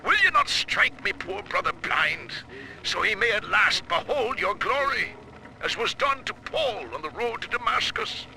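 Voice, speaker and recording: An actor playing a fanatical cult preacher in a video game, speaking in fervent, archaic biblical language. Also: male voice, sits high, expressive, a little slow, vocal fry, pushed and bright, a little hiss or hum, heavily acted, low-quality recording. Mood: anguished pleading. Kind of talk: theatrical video game voice acting.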